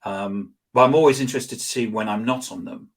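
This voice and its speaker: A man being interviewed as a guest expert, telling anecdotes in a rambling, animated way with lots of restarts and fillers. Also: mid-range voice, brisk. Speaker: guest, social selling expert. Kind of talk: conversational interview storytelling.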